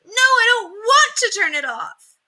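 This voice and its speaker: A stubborn little storybook girl, squealing in a very high, bright voice. She is excitable and insistent and stretches her words. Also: a little slow, exaggerated voice. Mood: defiant. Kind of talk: children's storybook read-aloud.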